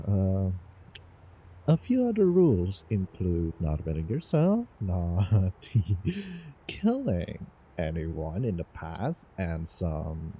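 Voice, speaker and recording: A man playing a doting, obsessive young student from the future in a halting, intimate audio drama monologue with nervous chuckles. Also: deep voice, gravelly, a little hiss or hum, exaggerated voice. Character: doting, obsessive male time-traveling student in love. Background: soft background ambience.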